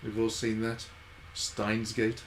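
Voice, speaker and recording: A man's voice: on the low side, monotone, takes it slowly. Man casually commentating, with background noise. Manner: musing, thinking aloud in conversational asides. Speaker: streamer and game reviewer hosting a live stream. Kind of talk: casual live game commentary to viewers.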